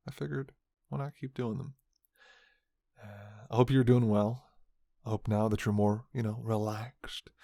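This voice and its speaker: A man's voice: fairly low pitch, vocal fry, a little mellow, dry recording, studio quality. A man talking casually to his ASMR listeners in a hesitant, musing, rambling way. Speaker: host of an ASMR roleplay audio channel.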